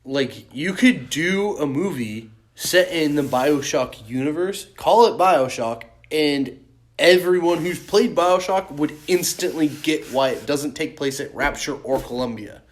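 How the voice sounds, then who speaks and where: A man co-hosting a podcast in casual chat, animated and freely swearing. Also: fairly high pitch, a little slow. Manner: profane, animated. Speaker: podcast host.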